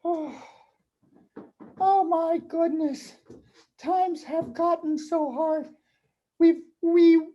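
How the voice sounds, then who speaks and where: A woman's voice: very high-pitched, dark tone, muffled recording. A woman voicing a fretful, kindly older mother in a puppet show, hesitant and sighing as she speaks. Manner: hesitant, sighing, repeating words. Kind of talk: children's puppet show dialogue.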